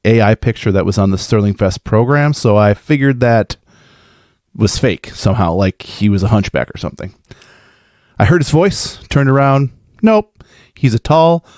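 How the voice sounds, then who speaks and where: American podcaster guy, kinda low voice, super enthusiastic fan message, casual, no room sound. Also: no echo, clean studio sound. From Minnesota, USA. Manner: excitable, gushing. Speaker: podcaster sending in fan feedback.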